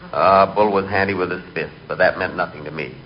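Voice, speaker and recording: A man's voice: low voice, steady tone, brisk, natural voice. A man acting a timid, neurotic and comically vain storyteller in 1940s radio comedy. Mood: deadpan, confiding. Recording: muffled, hissy 1940s radio recording.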